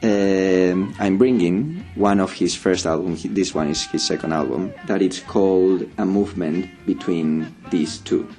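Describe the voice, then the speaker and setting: A man's voice: deep voice, unhurried, hardly any pauses, mellow, soft background music. A man with a Spanish accent and Spanish as his first language, chatting casually as a co-host and repeating words as he searches for phrasing. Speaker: radio show co-host. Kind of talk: casual chat.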